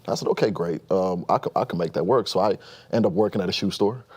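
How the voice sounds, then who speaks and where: A man in his thirties who grew up in Nigeria, speaking as a guest in a relaxed interview. His voice sits a bit low and carries a husky edge, and he talks fast. He tells his story animatedly, often restarting his sentences.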